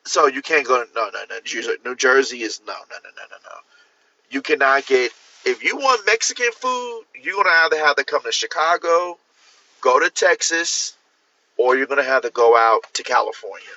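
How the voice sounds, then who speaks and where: A man from Miami chatting casually on a livestream, rambling with restarts and jumping up in pitch to stress a point. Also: expressive, moderate pace, bright, forceful tone, muffled recording. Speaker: author hosting a livestream. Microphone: phone or computer mic.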